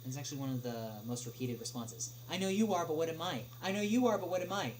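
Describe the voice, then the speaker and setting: A man chatting casually on a livestream as he answers viewer questions, speaking haltingly with long pauses. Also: fairly high pitch. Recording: noisy livestream audio with clear hum.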